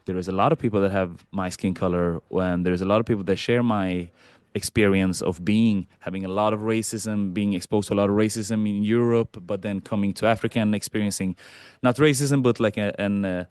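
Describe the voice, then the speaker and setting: A man from Sweden speaks in a low voice with a dry, close sound. He talks casually and reflectively in a conversation. His delivery is halting, and he repeats phrases as he works through his thoughts. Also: steady tone, a little mellow, clean tone, no room sound. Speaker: podcast participant.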